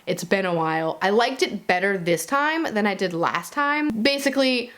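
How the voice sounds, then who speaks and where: Female video reviewer, mid-pitched, informal and conversational, chatting straight to her audience in a casual wrap-up. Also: animated, moderate pace, fairly bright tone. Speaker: book reviewer and video host. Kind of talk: casual monthly reading wrap-up to viewers.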